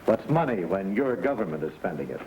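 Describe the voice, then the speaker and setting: A man's voice: sits low, sing-song, a little fast, a little mellow. A man playing a brazen, defiant crime boss in an old Western drama, speaking in a curt, commanding way. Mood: smug. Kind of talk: mid-century Western drama acting. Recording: noisy vintage drama recording.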